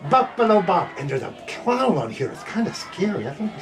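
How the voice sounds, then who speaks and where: A man's voice: high voice, sing-song, brisk. A man hosting a children's show, chatting playfully and teasingly with a small child. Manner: playful, teasing, repeats words. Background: music.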